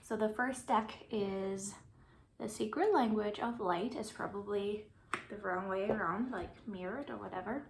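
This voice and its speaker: Gentle german-accented woman doing a card reading, rambly, drifts into whispers, echoey room, bit of hiss. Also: mid-range voice, measured pace, vocal fry. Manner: meandering and gentle, often trailing off into soft whispers. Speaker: oracle card reader. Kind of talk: casual spiritual card-reading vlog. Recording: roomy with faint background noise.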